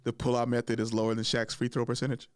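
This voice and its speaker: A man in his thirties hosting a casual chat, telling stories in a joking, slangy way, often laughing and jumping high in pitch when excited. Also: fast talker, very noisy. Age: 30s. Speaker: podcast host.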